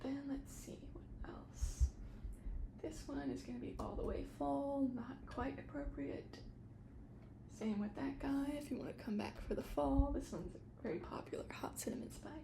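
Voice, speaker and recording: A woman doing a soft-spoken ASMR shop roleplay, explaining products in a casual, hesitant, unscripted way. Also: high-pitched, fairly even intonation, normal speed, creaky. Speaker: ASMR creator playing a tea shop attendant.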